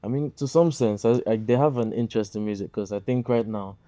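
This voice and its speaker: Deep-voiced soft-spoken Nigerian-born man. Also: a little fast, no room sound. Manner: soft-spoken, hesitant, many fillers. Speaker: guest musician. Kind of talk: casual interview talk.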